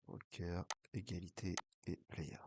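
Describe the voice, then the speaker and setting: A deep-voiced man with a head cold talks in a flat, even tone, his words thick and nasal from a blocked nose. He narrates a casino session casually and drifts into mumbling to himself as he clicks through bets. A clear hiss runs under the recording. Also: unhurried. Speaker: online casino streamer. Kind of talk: casual play-by-play of an online baccarat session.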